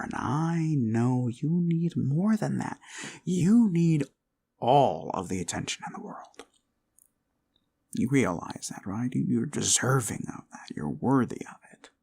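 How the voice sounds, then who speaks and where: A man playing an obsessive, controlling boyfriend in an ASMR roleplay, coaxing and condescending, often slipping into breathy whispers. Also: medium pitch, darkish tone, full character voice. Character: obsessive, controlling yandere boyfriend, menacingly sweet.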